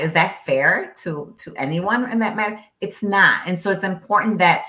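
A woman's voice: lowish voice, a bit bright. A woman whose first language is Spanish, an interpreter, explaining emphatically as a guest on a video call with many rhetorical questions. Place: home office. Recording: echoey room on video call.

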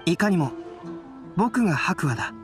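A man voicing a calm, analytical young scholar-artist in an anime game, speaking in a composed, polite way. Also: high voice, normal speed, over music. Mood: courteous.

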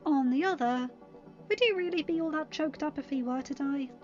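A woman voicing a thoughtful, hesitant male friend who speaks deliberately and confidentially in a story. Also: high-pitched, lo-fi sound, soft background music, voice pushed up, lightly acted. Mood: pensive. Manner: hushed, deliberate.

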